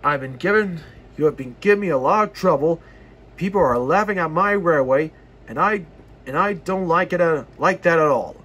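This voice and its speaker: A man voicing a stern but kindly railway boss in a children's storybook, with measured, halting delivery. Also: on the high side, animated, fairly bright tone, husky.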